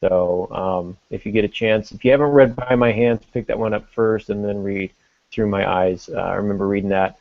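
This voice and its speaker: A man with a fairly low voice and plenty of vocal fry hosts a podcast. He talks informally and conversationally. The sound is dry and a little dull. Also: fairly even intonation, normal speed, no echo. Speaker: podcast host. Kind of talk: casual podcast hosting. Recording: dull lo-fi audio.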